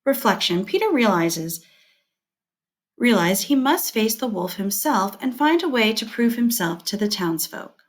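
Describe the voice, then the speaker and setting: A woman teacher reading scripted instructional material aloud, setting out headings and short points in a measured, deliberate way. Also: medium pitch, big pitch swings.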